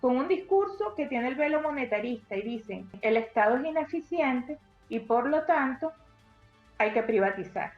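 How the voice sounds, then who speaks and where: A woman's voice: high-pitched, expressive, moderate pace, echoey room, highs cut off, soft background music. A woman giving insistent political commentary, posing rhetorical questions.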